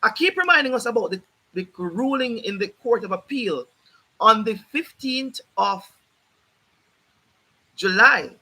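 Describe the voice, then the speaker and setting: A hoarse man hosting a livestream, explaining a court ruling in an emphatic, repetitive, lecturing way while addressing his viewers directly. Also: high voice, lively intonation, a little slow, long pauses, pushed and bright, low-quality recording. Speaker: host of a legal rights livestream. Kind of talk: livestreamed legal commentary.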